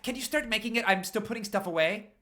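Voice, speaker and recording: Nerdy young man played by a male reader, nervous, soft-hearted, hesitant storybook character, bright raised voice. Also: sits high, fast talker, voice pushed up. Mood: awkward. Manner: hesitant, stammering.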